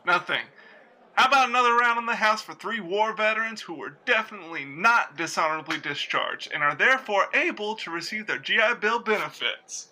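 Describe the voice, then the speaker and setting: Actor as brash young ex-soldier for radio comedy, shifty and punchy, very piercing bright tone, high for a man. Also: lively intonation, fairly quick, full character voice, poor audio. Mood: shifty, defensive. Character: brash, shifty young male war veteran. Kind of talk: old-time radio sketch comedy acting.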